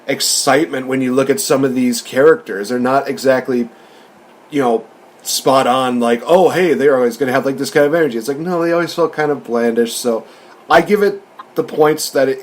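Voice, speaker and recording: A man's voice: medium pitch, a little hiss or hum. A man hosting a casual review discussion, recapping and commenting in an informal, conversational way. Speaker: podcast host reviewing films.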